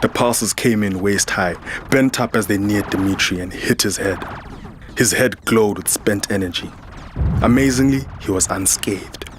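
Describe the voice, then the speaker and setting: A man's voice: fairly low pitch, normal speed, noisy background, lightly acted. A man playing a weary, defiant soldier, narrating a science fiction audio drama in a grave, dramatic manner. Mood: tense narration. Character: weary, defiant male human soldier narrating his ordeal. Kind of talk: first-person scripted audio drama narration.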